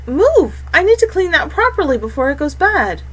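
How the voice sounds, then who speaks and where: A woman's voice: very high voice, very animated, moderate pace, voice pushed up. A woman voicing a practical, caring female healer in a fantasy audiobook, matter-of-fact and firm. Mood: urgent, firm. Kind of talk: audiobook character acting.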